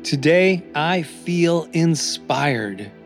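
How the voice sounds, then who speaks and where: Slow, higher-voiced man reading affirmations. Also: expressive. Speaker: host reading self-help affirmations. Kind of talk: scripted motivational affirmations and podcast narration. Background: new-age music.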